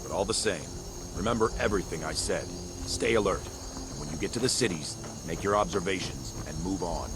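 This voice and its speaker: A man's voice: sits low, normal speed, natural voice. A man voicing a stern, protective middle-aged military father in a video game, firm and instructing. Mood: stern concern. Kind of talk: scripted video game dialogue. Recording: game audio under clear insect noise.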